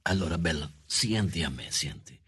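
An actor playing a grumpy barber in a comic radio drama, with a Southern Italian accent, muttering and bursting into shouts. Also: male voice, a bass voice, expressive, moderate pace, exaggerated voice. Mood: weary, impatient. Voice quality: gravelly, husky. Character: irritable gruff Southern Italian male barber, artist of insults.

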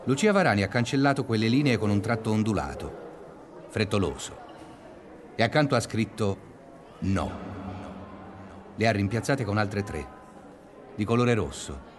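An actor playing a reflective, pedantic schoolteacher who narrates a confessional radio-drama monologue in measured, deliberate phrases. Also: male voice, fairly low pitch, very animated, slight background noise. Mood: measured, intent.

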